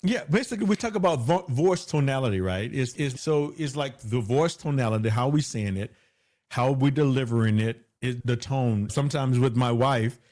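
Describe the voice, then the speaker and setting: A man's voice: medium pitch, lively intonation, moderate pace, a little mellow. A man being interviewed as a guest, who says he has a big voice, speaking emphatically and repeating phrases for stress. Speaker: guest, hypnotherapist. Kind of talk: conversational interview.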